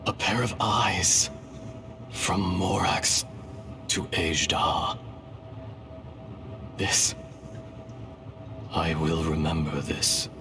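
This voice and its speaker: A man's voice: sits low, exaggerated voice. An actor playing an ancient, philosophical dragon in a young man's body, speaking solemnly and formally in a video game. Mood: solemn, wistful. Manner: slow, solemn, formal delivery. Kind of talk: scripted video game voice acting. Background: background music.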